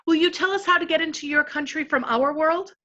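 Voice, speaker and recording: A woman voicing an eager young girl who pleads urgently and breathlessly, higher and louder than her own voice. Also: a little fast, highs cut off. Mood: pleading.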